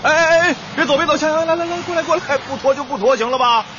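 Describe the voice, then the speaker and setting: An actor playing a caring but pushy young male teacher in a radio drama, brisk, blunt and urging. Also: very high voice, dull audio, background noise. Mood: insistent.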